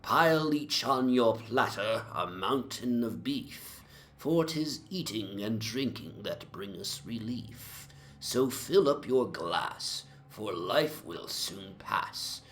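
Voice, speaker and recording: Casting: tipsy Georgian tavern reveler played by a male reader, lowish pitch, boisterous theatrical verse delivery, ambient noise underneath. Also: lively intonation, slow pace, fairly bright tone. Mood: jovial toasting. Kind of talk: theatrical rhymed drinking-song recitation.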